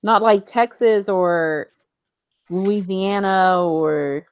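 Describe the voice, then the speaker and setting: A woman's voice: medium pitch, extremely slow, smooth, dull audio. A woman hosting a livestream, chatting casually while she works on a craft and laughing often. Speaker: livestream host.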